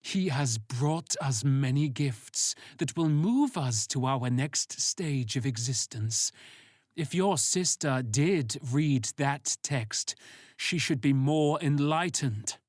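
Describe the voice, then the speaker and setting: Stern cult leader, read by a male narrator, grandiose and solemn, drawn-out delivery, audiobook villain. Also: medium pitch, expressive, no room sound, clean studio sound. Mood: stern, reverent.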